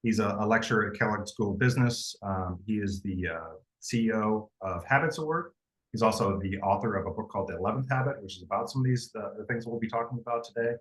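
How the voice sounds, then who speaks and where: A man's voice: fairly low pitch, fast talker, a bit bright, low-quality recording. A man hosting a webinar, introducing a guest in a casual way with frequent fillers. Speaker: webinar host. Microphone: video call.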